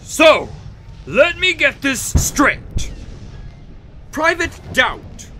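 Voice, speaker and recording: A man's voice: extremely high pitch, very animated, drawn-out words, bright, forceful tone, slight background noise, heavily acted. A man playing an exasperated, stubborn army general in a comedic radio play, barking indignantly and emphatically. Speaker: actor in audio drama.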